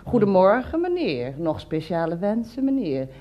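Deferential housekeeper played by a woman, swooping pitch. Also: sing-song, normal speed, lo-fi sound, natural voice. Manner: deferential, folksy, tag questions. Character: deferential, prim housekeeper, uneasy as guest. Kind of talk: radio play acting.

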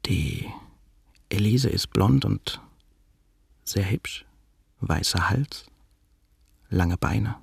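Radio drama, subdued young man with Yiddish accent, husky, very dry close recording. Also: on the low side, lively intonation, very slow, long pauses, dry recording, heavily acted. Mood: wistful, hushed. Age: young adult. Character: young man in secret love, subdued and hesitant. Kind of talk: radio drama acting, dialogue and first-person narration.

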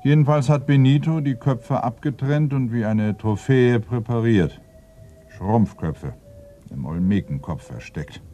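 An actor playing a brooding, irritable senior police inspector in a radio crime play, ponderous and commanding with sudden emphasis. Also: male voice, medium pitch, animated, unhurried, warm tone, slight background noise, close to an everyday voice. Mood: sombre, reporting. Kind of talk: German radio play acting.

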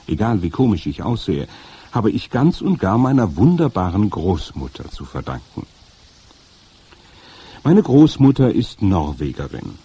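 A man narrating a first-person children's story with dramatic, animated delivery. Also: lowish voice, husky, no echo. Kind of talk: first-person audiobook reading.

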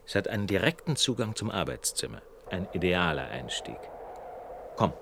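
Cool wry detective guy, really deep voice, unruffled, radio play, noisy track. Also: big pitch swings, moderate pace, creaky, exaggerated voice. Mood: confident. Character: cool, wry, self-assured male detective on a burglary. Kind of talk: radio drama acting.

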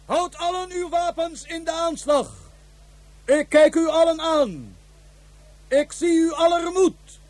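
An actor playing a fanatical military commander in a radio play, solemn, declamatory and incantatory. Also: male voice, very high-pitched, slow pace, long pauses, a little mellow. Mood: solemn, commanding. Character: fanatical commander addressing his soldiers by telekinesis.

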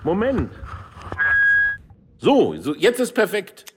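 A man's voice: high-pitched, big pitch swings, takes it slowly, a little hiss or hum, heavily acted. A man acting a brash, grumbling older would-be politician in a satirical radio drama, blunt and colloquial. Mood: content.

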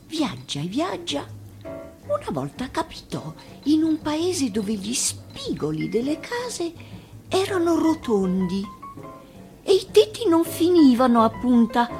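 A woman, an actress, reading a children's fairy tale as expressive scripted narration. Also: on the high side, creaky.

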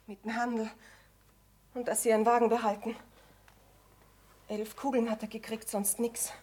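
A woman's voice: a little high, level tone, normal speed, darkish tone, slightly rough. An actress playing an anxious, blunt female go-between who brings bad news in terse, clipped phrases, in stage drama style. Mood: grim, hushed.

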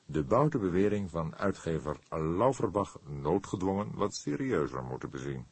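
A man voicing a calm, wry older male police inspector in an audiobook, with a measured, dryly ironic delivery. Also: low-pitched, husky, no room sound, full character voice. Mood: dry, casual.

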